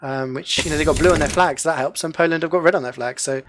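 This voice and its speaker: A man casting a live online game match in a relaxed, chatty way, leaning on filler phrases and light jokes. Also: fairly high pitch, fast talker, noisy background. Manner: chatty, heavy use of "you know" fillers, joking. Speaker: esports caster. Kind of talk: casual live game commentary.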